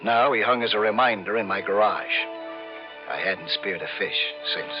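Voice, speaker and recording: A man's voice: lowish voice, moderate pace, lo-fi sound, lightly acted. An actor playing a brooding, dreamy, obsessed skin diver who narrates his own story in an old radio suspense style, musing and dramatic, repeating phrases. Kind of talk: 1950s radio suspense acting, first-person narration. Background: dramatic music.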